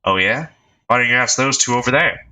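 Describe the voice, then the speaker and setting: A man voicing a brash male reporter who taunts and talks back quickly. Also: mid-range voice, animated, sharp tone, voice pushed up. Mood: taunting. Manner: taunting, fast-talking.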